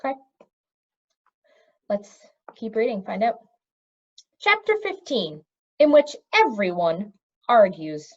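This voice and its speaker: A woman reading a children's fantasy novel aloud in an expressive storytelling style. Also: mid-range voice, takes it slowly, long pauses, highs cut off. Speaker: storybook reader. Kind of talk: expressive read-aloud of a children's fantasy novel, pausing to question young listeners.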